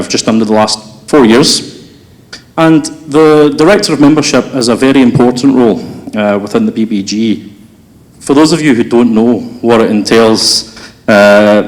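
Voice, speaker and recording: A man's voice: mid-range voice, darkish tone, poor audio. A man giving a prepared candidate speech at a business group election, earnest, with many ums and uhs. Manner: earnest, filler-heavy delivery. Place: hotel function room.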